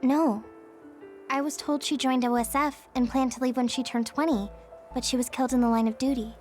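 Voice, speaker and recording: A woman's voice: high-pitched, fairly even intonation, brisk, a little mellow, faint music behind, natural voice. A woman voicing an earnest, polite young female recruit in a video game, with a hesitant way of talking. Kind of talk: English video game voice acting.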